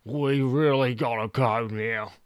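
A man's voice: medium pitch, fairly even intonation, takes it slowly, no room sound, clean studio sound, natural voice. A man voicing a timid male cameraman who pleads nervously with his boss. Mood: pleading.

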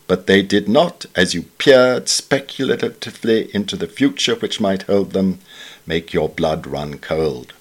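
A British man reading a scripted literary essay in a formal, measured, wryly understated way. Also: lowish voice, husky. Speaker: reader of newspaper essays.

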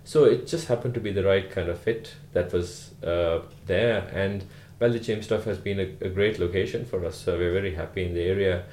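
A man from Sri Lanka, a rural GP, answering podcast interview questions in an unhurried way with many "uh" fillers. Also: sits low, steady tone, darkish tone, reverberant, a little hiss or hum. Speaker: guest, rural GP.

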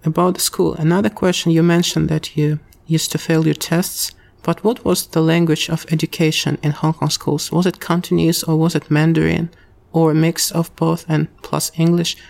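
A man hosting a podcast interview, speaking with careful, slightly halting phrasing. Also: fairly high pitch, flat delivery, gravelly, darkish tone, no echo. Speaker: podcast host. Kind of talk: casual interview.

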